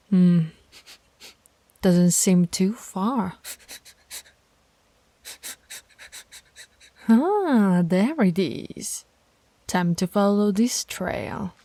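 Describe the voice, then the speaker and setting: A woman's voice: mid-range voice, sing-song, very slow, long pauses, warm tone, no echo, heavily acted. A woman voicing a stern, teasing alpha werewolf pack leader in an audio roleplay, authoritative and taunting. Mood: teasing, predatory.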